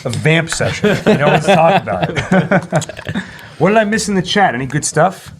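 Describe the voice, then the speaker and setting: A man's voice: fairly high pitch, lively intonation, moderate pace, slight background noise. A man hosting a live stream with casual, playful banter, slipping into announcer-like score calls. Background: music.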